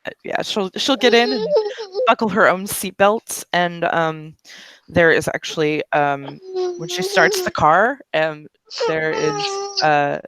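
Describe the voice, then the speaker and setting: A game master narrating a tabletop roleplay scene, rambling with many fillers and pauses. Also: mid-range voice, sing-song, a bit bright.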